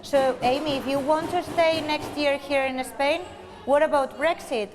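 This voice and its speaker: High-voiced woman reporter asking questions. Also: steady tone, takes it slowly, music in the background. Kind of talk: interviewing on location.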